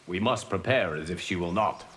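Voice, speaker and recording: A deep-toned, solemn god and mentor in a fighting game. He speaks in formal, measured lines with grave calm. Faint ambient noise sits behind the voice. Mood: measured, instructive. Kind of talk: video game voice acting, formal pre-fight banter.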